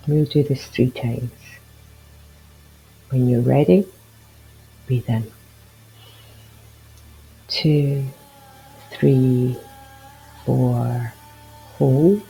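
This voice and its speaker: Slow, mellow man guiding meditation. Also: medium pitch, creaky, low-quality recording. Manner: soothing, gentle, encouraging. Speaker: guided meditation leader. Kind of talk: guided meditation. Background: music behind the voice.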